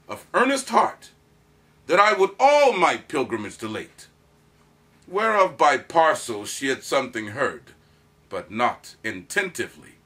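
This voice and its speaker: A man's voice: a little high, sharp tone, exaggerated voice. A man performing a proud soldier who recounts his courtship in a declamatory, theatrical Shakespearean monologue. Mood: tender, storytelling. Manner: declamatory, dramatic emphasis.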